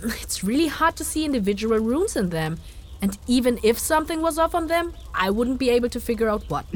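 A breezy young fantasy adventurer, acted by a woman for an audio drama. Her voice is high and very animated, leaping around in pitch. She talks casually and cheekily, with modern slang. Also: brisk. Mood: exasperated.

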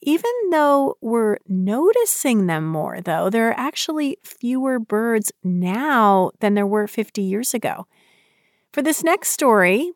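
A woman's voice: fairly high pitch, no echo. A woman hosting radio, reading scripted narration into a close studio microphone. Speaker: radio host.

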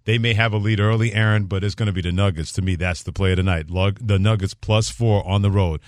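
Lower-voiced American sports radio co-host, man. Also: fairly even intonation, quick pace, no echo, clean studio sound. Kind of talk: sports talk banter with opinions.

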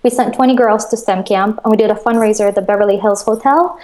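A woman's voice: mid-range voice, steady tone, quick pace, dark tone. A woman from Russia, guest in a casual interview, talking enthusiastically and chattily about her crowdfunding platform over a video call. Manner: enthusiastic, chatty, laughs often.

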